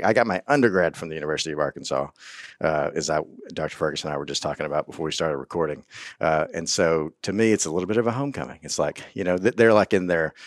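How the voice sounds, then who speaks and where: A man hosting a podcast, chatty and quick to laugh, often restarting his sentences. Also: deep voice, no room sound, clean studio sound. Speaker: podcast host. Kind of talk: casual interview chat.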